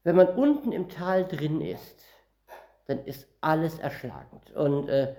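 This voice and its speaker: A man's voice: on the high side, sing-song, unhurried, dark tone. A man from northern Germany preaching a Bible-teaching sermon, reading verses aloud and explaining them word by word in a conversational way.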